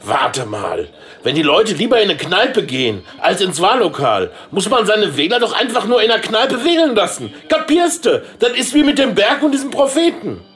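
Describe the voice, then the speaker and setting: An eager, naive businessman in his sixties dabbling in politics. His voice is fairly high and swings animatedly, with colloquial radio play delivery. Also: very animated, normal speed, a bit bright, soft background music. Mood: excited. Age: 60s.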